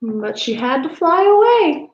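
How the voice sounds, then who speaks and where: A woman's voice: sits high, dull audio. A woman hosting a children's story time, reading aloud expressively and addressing young listeners directly, in a roomy livestream recording. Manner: expressive, pausing to point out pictures to young listeners. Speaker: parks foundation outreach coordinator hosting story time. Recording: roomy, echoey livestream sound.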